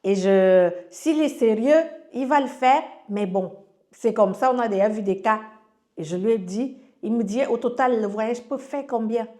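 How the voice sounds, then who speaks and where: A woman's voice: a little high, normal speed, dark tone. A woman from Cameroon with a Cameroonian French accent, sharing a personal testimony in casual storytelling, with frequent fillers and restarts as she relays what others said to her.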